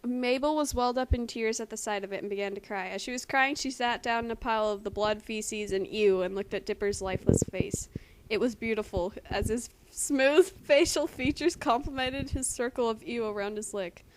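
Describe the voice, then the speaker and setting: Giggly high-voiced woman reading aloud. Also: moderate pace. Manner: giggly, often breaks into laughter while reading. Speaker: co-reader and commentator. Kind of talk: amateur dramatic reading with casual commentary. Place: at home in a house. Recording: noisy home recording with clicks.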